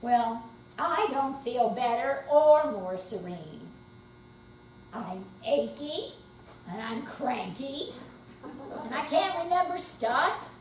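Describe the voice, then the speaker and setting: Slow, high-voiced older woman, echoey. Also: lively intonation. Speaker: amateur readers theater performer. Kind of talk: dramatic stage reading. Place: senior center hall, indoor live performance. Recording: distant, echoey room with faint hum.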